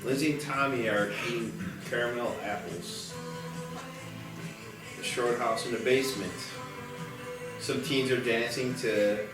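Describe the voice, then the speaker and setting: Guy narrating a script dramatically, average pitch, way off the mic in an echoey room. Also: fairly even intonation, unhurried, pauses often. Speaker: stage-direction narrator. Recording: very echoey, distant mic, music behind.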